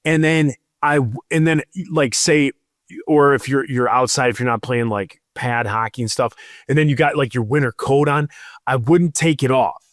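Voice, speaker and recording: A man's voice: medium pitch, fairly even intonation, normal speed, no room sound, clean studio sound. A man in his thirties, a podcast co-host, telling a rambling story in casual chat with lots of fillers.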